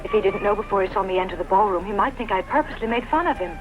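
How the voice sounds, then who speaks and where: A woman's voice: mid-range voice, animated, rapid-fire, music in the background, close to an everyday voice. A woman dubbing a composed, resolute young princess in a costume film, earnest and reassuring, on a dull film soundtrack. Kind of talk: dubbed costume-film acting.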